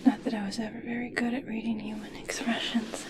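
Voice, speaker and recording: A woman playing an adoring, obsessed scientist in an ASMR roleplay, speaking in a soft, breathy whisper with a gentle, halting, fixated manner. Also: a little high, little pitch movement, noisy background, close to an everyday voice. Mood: curious, studying. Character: obsessed, adoring female scientist studying a captive merperson.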